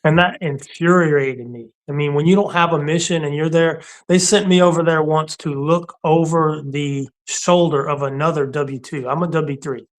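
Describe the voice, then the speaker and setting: A middle-aged veteran man chatting casually on a talk show. His voice sits somewhat high for a man, and he drives his points home bluntly, repeating them and laughing between thoughts. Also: steady tone, moderate pace, few pauses. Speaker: co-host; Army warrant officer veteran. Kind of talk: casual conversation.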